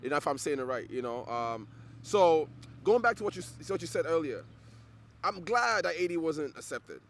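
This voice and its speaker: Nigerian man, interview guest, a bit high-pitched, earnest and halting, repeats words. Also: sing-song, a little hiss or hum. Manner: earnest, repeats words while searching for them. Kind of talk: casual interview conversation.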